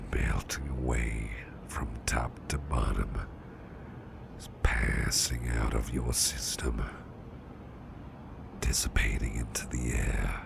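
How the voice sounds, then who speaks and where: A man playing a gentle, protective boss in an ASMR roleplay, speaking in a soft, breathy, soothing near-whisper with an unhurried manner. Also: extremely low pitch, background noise, full character voice. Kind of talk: ASMR roleplay, close personal attention.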